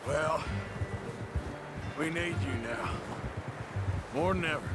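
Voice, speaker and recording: A man playing a gruff, wry middle-aged Old West outlaw in a video game, with a dry American drawl. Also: fairly high pitch, slow, loud music behind the voice. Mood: earnest. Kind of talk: video game dramatic dialogue.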